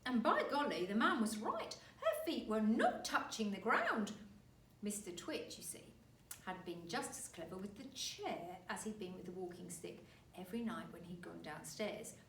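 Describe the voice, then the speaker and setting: A woman reading a children's story aloud like a teacher, with dramatic, deliberate emphasis. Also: medium pitch, a bit bright. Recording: roomy, distant mic, faint noise.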